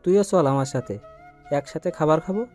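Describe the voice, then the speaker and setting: Man playing a fatherly, mellow-voiced family patriarch. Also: a little high, moderate pace, lightly acted. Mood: tender, concerned. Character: worried, fatherly uncle, wealthy family head and businessman. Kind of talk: Bengali audio story acting. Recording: music bed under voice.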